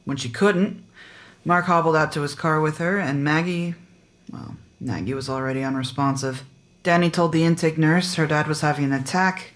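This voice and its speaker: A woman's voice: low voice, normal speed, natural voice. A woman playing a blunt, skeptical small-town sheriff with a curt, demanding manner, in a naturalistic audio drama. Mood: grim. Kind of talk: naturalistic audio fiction podcast acting.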